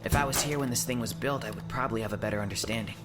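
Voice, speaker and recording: A man's voice: medium pitch, racing, loud music behind the voice, close to an everyday voice. A man voicing a bewildered, doubtful male sidekick in an audiobook, with a questioning, incredulous manner. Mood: cooperative. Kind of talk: audiobook character acting.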